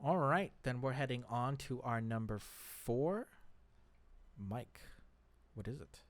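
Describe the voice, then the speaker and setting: A man's voice: medium pitch, expressive, slow pace. A man hosting a podcast in a casual, conversational style. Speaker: podcast host. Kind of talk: casual podcast discussion of movies.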